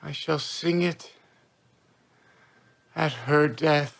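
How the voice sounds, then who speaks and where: A man acting a bewildered, vain simple craftsman in a Shakespearean stage monologue, halting in broken phrases of wonder. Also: on the high side. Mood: grand, delighted.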